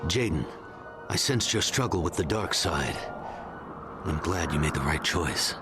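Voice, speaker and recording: A man's voice: deep voice, lively intonation, unhurried. An actor playing a caring male Jedi mentor in a video game, serious, plain-spoken and reassuring. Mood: calm, approving. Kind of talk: dramatic video game cutscene acting. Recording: loud music over the voice.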